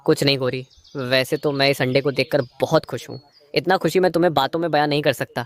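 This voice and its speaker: A man's voice: medium pitch, steady tone, quick pace, no echo, close to an everyday voice. A man voicing a stingy, two-faced crow husband in a moral-story cartoon, a schemer who feigns sweetness to his wife. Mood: falsely pleased.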